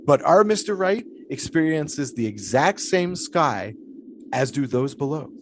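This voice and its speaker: Brief: 1920s pole sitter, lofty and musing, lively swooping delivery, faint ambience. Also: male voice, on the high side, unhurried, slight background noise. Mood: lecturing. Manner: grandiose, musing, theatrical. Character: philosophical 1920s male flagpole sitter. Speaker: flagpole sitter being interviewed. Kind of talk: period audio drama acting.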